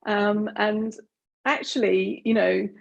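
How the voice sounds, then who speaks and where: Husky British woman presenting a webinar. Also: mid-range voice, fairly even intonation, takes it slowly, dull audio. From Britain. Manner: chatty, many fillers and restarts. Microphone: video call.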